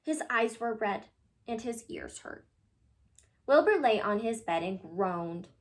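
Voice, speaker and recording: A woman reading a children's picture book aloud in an animated, expressive way, addressing young listeners. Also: fairly high pitch, slow.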